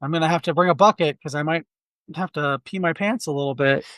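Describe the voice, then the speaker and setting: A man's voice: on the high side, fast, fairly bright tone, dry recording, studio quality. A man co-hosting a film podcast, chatting candidly in a rambling way with many restarts. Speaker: podcast co-host. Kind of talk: casual film chat. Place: Quad City area.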